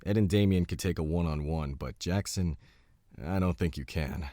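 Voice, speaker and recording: An actor playing a gruff, crude, cocky war-veteran gunman who talks bluntly and boastfully in an audio drama. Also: deep voice, moderate pace, dark tone, no echo, studio quality, close to an everyday voice. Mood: grim, blunt.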